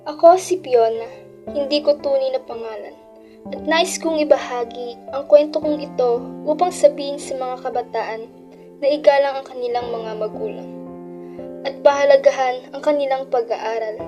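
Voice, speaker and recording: A woman's voice: sits high, normal speed, dull audio, over music, natural voice. A woman playing a regretful young woman who narrates her own past in a scripted radio drama. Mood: reflective.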